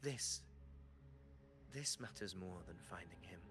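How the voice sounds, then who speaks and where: A man's voice: sits low, expressive, takes it slowly, a bit bright, heavily acted. A man playing an earnest, brooding warrior hero in a video game, with formal, solemn and theatrical phrasing. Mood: brooding determination. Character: earnest brooding male warrior hero questioning his own existence. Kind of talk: dramatic video game voice acting.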